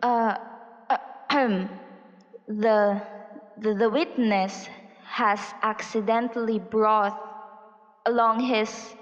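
A woman playing a formal, awkward court reporter in an amateur radio drama, with a halting, official delivery. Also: fairly high pitch, steady tone. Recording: dull lo-fi sound with reverb.

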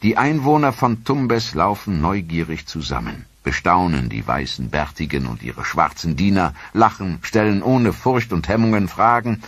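A man narrating a history documentary in a measured, solemn, scripted style. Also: low-pitched, animated, breathy edge, dry recording.

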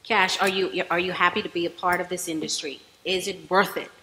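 A woman's voice: on the low side, steady tone, a little fast, pushed and bright. A Bahamian woman moderating a conference panel, encouraging speakers and passing the floor. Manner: encouraging, affirming with short responses. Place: large conference hall stage. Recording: hall reverb, faint audience.

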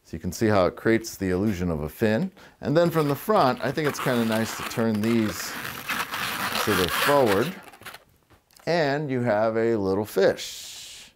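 A middle-aged man giving a relaxed, chatty balloon-twisting lesson in a living room, with wry asides and a lapel mic. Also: lowish voice, unhurried, background noise. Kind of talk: casual tutorial demonstration. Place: living room with fireplace, indoors.

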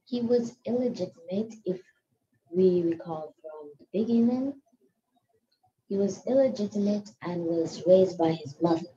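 A woman's voice: medium pitch, expressive, measured pace, warm tone. A female student giving an informal explanation in a history lesson. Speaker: student presenter. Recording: muffled, noisy online call.

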